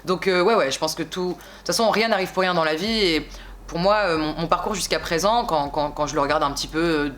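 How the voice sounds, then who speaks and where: A young woman in her twenties from France, a singer chatting casually in an interview, relaxed and slangy, rambling with frequent little asides. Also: on the low side, a little fast, a little hiss or hum. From France (Bordeaux).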